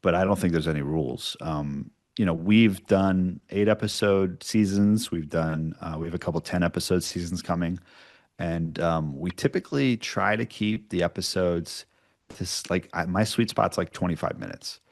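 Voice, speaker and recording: A man's voice: low-pitched, moderate pace. A man speaking informally and a little self-deprecatingly as a guest writer-producer in a casual interview. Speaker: guest writer-producer of podcast audio dramas.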